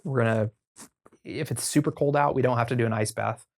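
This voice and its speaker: Casual American man with a creaky voice. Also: mid-range voice, steady tone. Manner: informal, halting, thinking aloud. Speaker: podcast guest. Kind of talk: casual conversational storytelling.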